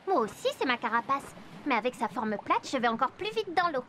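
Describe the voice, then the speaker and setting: A woman's voice: high-pitched, very animated, brisk, a bit bright, slight background noise. A woman voicing a boastful but sweet female sea turtle in a children's cartoon, with a self-assured manner. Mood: friendly.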